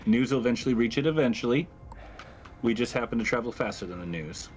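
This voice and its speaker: Mid-pitched man playing a tabletop game. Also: animated, fast talker, a little hiss or hum. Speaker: tabletop roleplaying player. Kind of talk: in-character game talk. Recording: music playing underneath.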